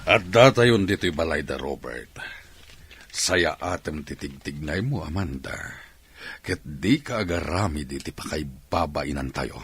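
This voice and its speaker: A man's voice: rumbling low, expressive, a little slow, fairly bright tone, husky, heavily acted. A man playing a stern, domineering middle-aged father with an overbearing, emphatic way of talking, in a radio melodrama. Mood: stern warning. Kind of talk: melodramatic radio drama acting.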